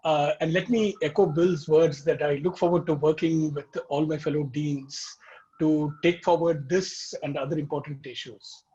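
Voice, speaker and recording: A man's voice: fairly high pitch, fairly even intonation, moderate pace, mellow, muffled recording. A man, a business school dean, speaking courteously and formally on a video-call panel. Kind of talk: panel discussion.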